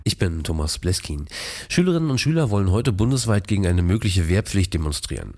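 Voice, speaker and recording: A male newsreader with a deep, husky voice delivers a scripted bulletin. His intonation is animated. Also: brisk. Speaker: radio newsreader.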